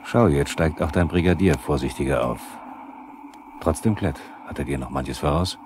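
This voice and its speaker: An actor playing a sober, probing narrator who addresses the characters in a 1970s radio drama. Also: male voice, very deep voice, moderate pace, darkish tone. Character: probing male narrator, the characters' inner questioner. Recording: noisy 1970s vinyl radio play.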